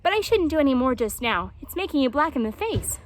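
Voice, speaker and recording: A woman's voice: sits high, sing-song, fairly quick. A woman voicing a chatty, eager male hedgehog in a storybook, fussy, polite and pleased with himself. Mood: encouraging, fussy.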